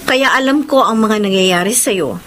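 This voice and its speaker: An actress playing a seductive, scheming rich woman in a Filipino radio drama, with a teasing, deliberate delivery. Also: medium pitch, background noise, close to an everyday voice. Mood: sly, confident.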